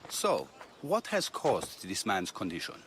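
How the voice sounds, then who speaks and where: A man playing a brisk, opinionated master physician in a video game, didactic and brusque in manner. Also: fairly low pitch, huge pitch swings, a bit bright, faint music behind, full character voice. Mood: lecturing. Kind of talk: video game cutscene dialogue.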